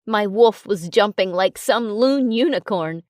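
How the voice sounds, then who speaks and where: A woman narrating an audiobook in a calm, even storytelling manner. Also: mid-range voice, unhurried, no room sound, clean studio sound. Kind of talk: audiobook narration of a romance novel.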